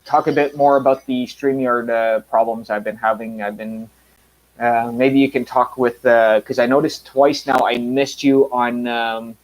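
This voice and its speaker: A man in his mid-thirties talks loosely on his own live stream, wandering between thoughts with plenty of uhs and restarts. His voice sits at an ordinary middle pitch for a man. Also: steady tone, unhurried, low-quality recording. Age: 30s. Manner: rambling, many fillers and restarts. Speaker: channel host. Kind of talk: casual live stream chat.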